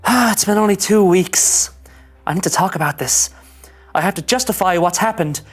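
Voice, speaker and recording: A woman voicing an anxious, rule-following young male starship ensign in a scripted animated sci-fi read, fretful and earnest. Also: lowish voice, normal speed, breathy edge. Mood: troubled, confessional. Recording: music under the voice.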